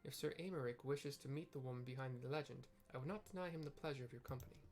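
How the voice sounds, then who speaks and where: A man's voice: mid-range voice, fast, a little mellow, natural voice. A man voicing an eloquent, courtly young male diplomat from a fantasy game, with formal, wordy phrasing. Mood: persuasive, formal. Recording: clear background noise.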